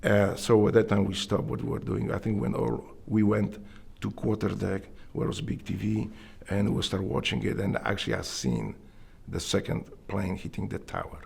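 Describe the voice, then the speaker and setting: A man with a Polish accent and a strongly gravelly, husky voice that sits fairly low. He answers interview questions earnestly, pausing and restarting as he searches for the words. He sounds middle-aged. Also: a little mellow. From Poland. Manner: earnest, halting with restarts and fillers. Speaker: interviewee, Navy SEAL veteran. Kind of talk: interview storytelling.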